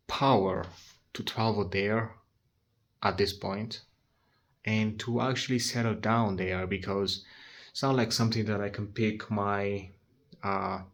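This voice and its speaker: A man's voice: fairly low pitch, a bit bright. A nineteen-year-old man talking frankly to his handheld phone in a casual vlog, swearing often and restarting his sentences. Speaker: vlogger.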